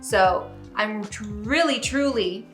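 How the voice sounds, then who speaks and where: A woman's voice: mid-range voice, takes it slowly, fairly bright tone. Woman chatting casually in a roomy, echoey space. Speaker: book vlog co-host. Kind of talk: casual book chat. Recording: roomy echo, faint music behind.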